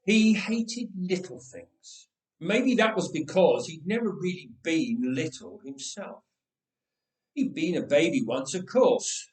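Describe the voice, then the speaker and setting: Male story reader, lively and slightly high-pitched, dramatic pauses and drawn-out phrases, recorded roomy and a little distant. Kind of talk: expressive read-aloud storytelling. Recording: echoey room, distant microphone.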